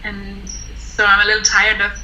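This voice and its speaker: A woman's voice: medium pitch, monotone, normal speed, bright, forceful tone, muffled recording, slight background noise. A young woman from Denmark, a native Danish speaker, chatting candidly and rephrasing as she goes. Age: young adult. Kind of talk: candid personal chat.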